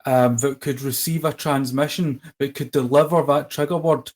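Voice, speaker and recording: A man's voice: medium pitch, steady tone, moderate pace, darkish tone. A man hosting a casual podcast conversation, hesitant with many ums and restarts. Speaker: podcast host.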